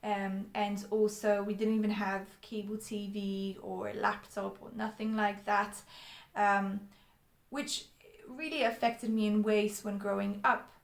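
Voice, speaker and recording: A woman's voice: mid-range voice, little pitch movement, measured pace, gravelly. A young Polish woman tells her personal story straight to camera, speaking with few rises or falls. She is earnest and often stops on an um or restarts a phrase. The room around her sounds echoey. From Poland. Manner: earnest, with frequent ums and restarts. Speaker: vlogger.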